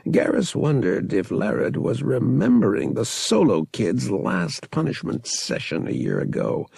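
A man's voice: mid-range voice, wildly swooping pitch, measured pace, creaky, darkish tone, breathy edge, no room sound. A man reading an adventure novel as an audiobook narrator, in dramatic scripted narration.

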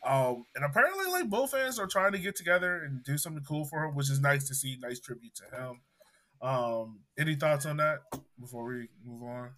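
A man hosting a casual podcast, easygoing and relaxed as he throws out questions. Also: on the high side, brisk. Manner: easygoing, laid-back host who throws out questions. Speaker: podcast host.

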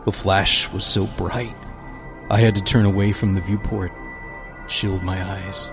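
A man playing an anguished astronaut recording a halting log in an audio drama, grieving and horrified. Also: low-pitched, little pitch movement, over music. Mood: haunted. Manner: halting, repeats himself.

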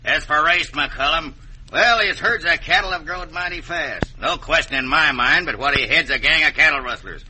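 An actor playing a blunt, wary Old West man in a radio western, with a gruff American western drawl. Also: fairly high pitch, normal speed, noisy background, natural voice. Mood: frustrated, warning. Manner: folksy, blunt frontier drawl.

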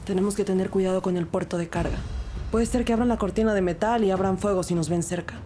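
A woman's voice: medium pitch, fairly even intonation, quick pace, natural voice. An actress playing an anxious, determined police detective who narrates her case in a dramatic, suspenseful crime audio drama. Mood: urgent, worried. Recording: loud music behind the voice. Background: dramatic music.

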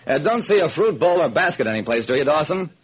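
An actor playing a wry, sharp-witted detective who narrates and acts in an old-time radio drama. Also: male voice, on the high side, big pitch swings, fast talker, husky. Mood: inquisitive. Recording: noisy vintage radio recording.

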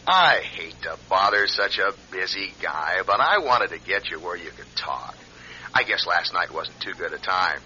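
A man's voice: low-pitched, lively intonation, normal speed, pushed and bright, heavily acted. An actor playing a taunting, falsely friendly male blackmailer speaking over the telephone in a radio drama. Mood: taunting, sly. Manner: taunting, knowing, needling. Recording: dull old radio recording, faint noise.

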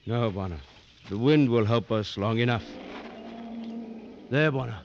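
An actor playing a steady, deferential safari tracker in an old radio drama, speaking in a measured way. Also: male voice, medium pitch, close to an everyday voice. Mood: steady, watchful. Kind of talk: 1940s-50s radio drama acting. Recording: dull vintage radio recording, faint noise.

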